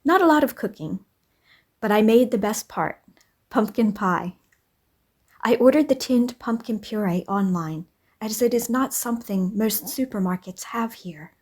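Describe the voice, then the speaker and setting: A woman narrating a cozy home vlog in a gentle, unhurried way. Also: fairly high pitch, expressive. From English-speaking country. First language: English. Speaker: vlogger. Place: home in Norway.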